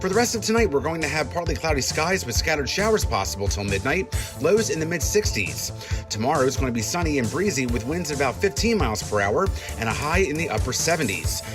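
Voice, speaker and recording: A man's voice: a little high, sing-song, fast talker. A man hosting live college radio as a DJ, with upbeat presenter patter. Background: music.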